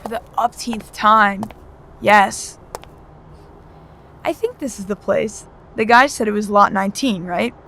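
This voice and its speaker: A woman's voice: medium pitch, unhurried, long pauses, a bit bright, a little hiss or hum, close to an everyday voice. A woman playing an exasperated, practical seventeen-year-old daughter in an audio drama, dry and impatient. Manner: dry, impatient, matter-of-fact.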